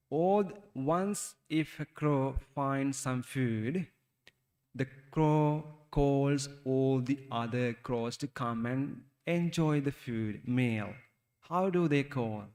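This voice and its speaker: A man with a Sri Lankan accent, a Buddhist monk giving a talk to young listeners, speaking haltingly and asking them questions. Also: mid-range voice, darkish tone, in a large hall. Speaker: Buddhist monk teaching youth. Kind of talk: Dhamma talk for children.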